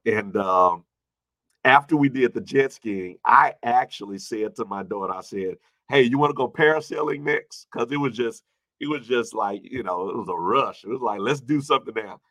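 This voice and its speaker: A man in his early fifties speaking as a pastor in an informal sermon, repeating phrases for emphasis and moving between loud and hushed delivery. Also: medium pitch, moderate pace, no echo. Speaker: pastor addressing an online congregation. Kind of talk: informal sermon with personal anecdotes and replies to viewer comments.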